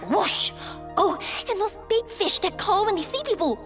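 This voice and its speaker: Casting: bubbly young woman for a video game, high and lively, boundless energy. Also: normal speed, soft background music. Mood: enthusiastic.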